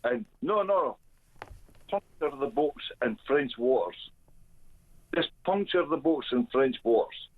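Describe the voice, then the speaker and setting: Scottish male caller, blunt and halting, slow delivery, phone-line sound. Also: a little high, expressive. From Scotland. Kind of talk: phone-in call.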